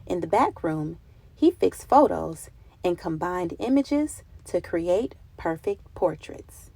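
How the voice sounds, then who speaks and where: Creaky-voiced woman reading a children's storybook. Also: medium pitch, darkish tone, dry recording. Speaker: children's read-aloud narrator. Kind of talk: expressive storybook narration for children.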